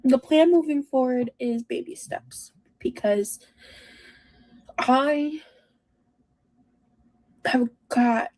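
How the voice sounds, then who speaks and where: A woman in her thirties speaking in a halting, self-reflective way, as in a personal confessional vlog. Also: sits high, darkish tone, rough recording. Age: 30s.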